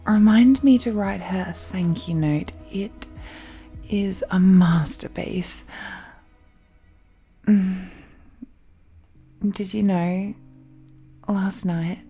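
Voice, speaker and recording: Woman voicing a dragon-blooded fiancée, romantic audio roleplay, possessive yet adoring, very slow halting delivery, soft dark tone, emotional sighs. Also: medium pitch, slightly rough, soft background music, full character voice. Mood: awed, delighted. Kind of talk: intimate romantic audio roleplay addressed to the listener.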